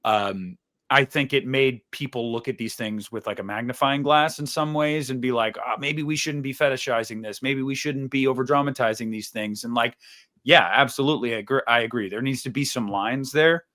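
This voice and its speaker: Mid-pitched man in casual podcast discussion. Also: brisk, pushed and bright. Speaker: podcast co-host.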